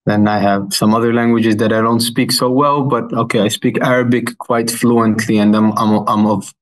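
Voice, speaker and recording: A man in his thirties from Finland, answering interview questions thoughtfully and pausing often with fillers. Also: lowish voice, steady tone, rough recording. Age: 30s. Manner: thoughtful, with many fillers and restarts. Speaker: guest.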